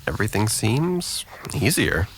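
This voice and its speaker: A man's voice: fairly low pitch, very animated, measured pace, a little hiss or hum. An actor playing a guarded, depressed young man who deflects with dry sarcasm in an audio drama. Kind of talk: naturalistic audio drama dialogue.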